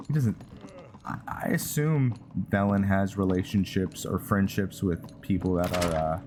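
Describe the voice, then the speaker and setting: A man hosting a gaming video, giving casual, halting roleplay commentary and reading in-game text aloud. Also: deep voice, darkish tone, slight background noise. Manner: hesitant, halting delivery. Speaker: gaming channel host.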